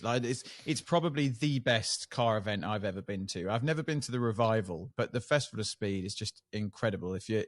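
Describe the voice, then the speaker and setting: A man's voice: lowish voice, no room sound. Eager male podcast host, dry sound. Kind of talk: casual podcast chat.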